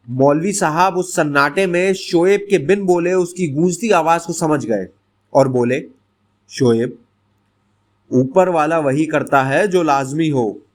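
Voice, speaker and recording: A man narrating a scripted bedtime story in an expressive, dramatic storytelling manner. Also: high voice.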